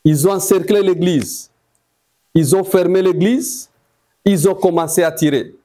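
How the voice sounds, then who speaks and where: A man with a Congolese accent giving eyewitness testimony, telling it deliberately in short phrases. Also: high voice, dark tone. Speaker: testifying massacre survivor.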